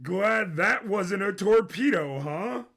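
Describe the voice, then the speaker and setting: A man voicing a brash, dim, violent prince in an audio story, loud and always questioning. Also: sits high, lively intonation, slow, creaky, voice pushed up, full character voice. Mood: brash teasing.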